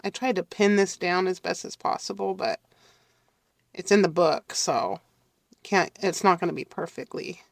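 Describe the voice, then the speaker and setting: Middle-aged woman host with a cold, congested and raspy, chatting and apologizing through a relaxed crafting tutorial. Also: on the low side, moderate pace, no room sound, clean studio sound. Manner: chatty, apologetic, talks to viewers while working. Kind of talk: casual craft tutorial chat.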